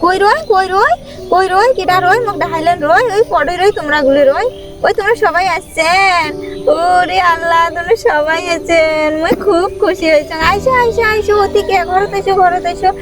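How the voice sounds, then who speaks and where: A woman's voice: extremely high pitch, normal speed. A woman voicing a fretful, sulky village wife doll in a cartoon, with a wailing, repetitive manner and a rural Bangladeshi accent. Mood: excited, welcoming. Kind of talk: high-pitched puppet-cartoon dialogue. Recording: loud music over the voice.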